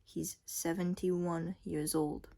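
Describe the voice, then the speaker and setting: A woman's voice: on the low side, little pitch movement, slow pace, gravelly, warm tone. A young adult woman vlogger giving a casual, unscripted monologue in a rambling, blunt way with casual swearing. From Scotland and Thailand.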